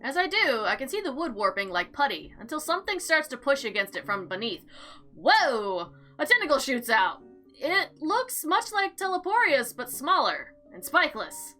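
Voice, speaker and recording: A woman giving casual, chatty gameplay commentary and reading visual novel text aloud in an expressive, theatrical way. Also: sits high, normal speed. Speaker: game player and narrator. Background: background music.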